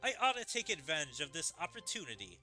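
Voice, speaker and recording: Scheming game empress read by a man, condescending and musing, sharp tone, faint music. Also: a little high, wildly swooping pitch, quick pace, exaggerated voice.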